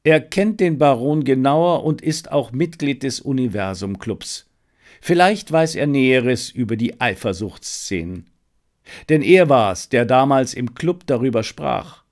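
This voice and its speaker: A male audiobook reader voicing a self-assured, brisk gentleman detective with an assured, instructive manner. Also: mid-range voice, expressive. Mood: casual, reflective. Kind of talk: audiobook character dialogue.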